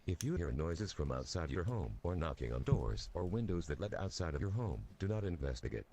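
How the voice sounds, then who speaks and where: A man's voice: sits low, sing-song, brisk, dark tone, a little hiss or hum. A man playing a grave national emergency announcer, speaking in a stiff, solemn, formal way.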